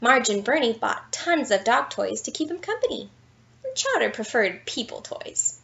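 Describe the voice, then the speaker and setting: A woman reading a children's picture book aloud in an expressive, playful storytelling style with dramatic emphasis. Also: on the high side, moderate pace, vocal fry, dull audio. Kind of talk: animated bedtime story read-aloud with asides.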